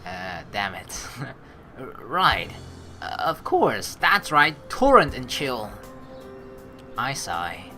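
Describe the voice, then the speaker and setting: A woman's voice: rumbling low, big pitch swings, extremely slow, long pauses, pushed and bright, faint music behind. A young woman reading a visual novel aloud and adding playful, chatty commentary between lines. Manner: playful, giggly, chatty asides. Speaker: let's play streamer.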